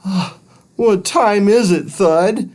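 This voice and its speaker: A man's voice: sits high, takes it slowly, darkish tone, voice pushed up. A man voicing a curious, worried young boy on an adventure in a children's storybook, full of wondering exclamations.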